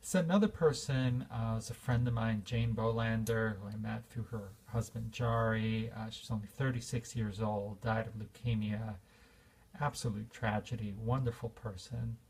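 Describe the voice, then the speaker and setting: A man's voice: on the low side, fairly even intonation, moderate pace, gravelly, darkish tone, slightly rough, recorded from a distance. A man in his fifties giving an earnest, reflective spiritual talk, with fillers and restarts. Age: 50s. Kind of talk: informal spiritual talk to an audience.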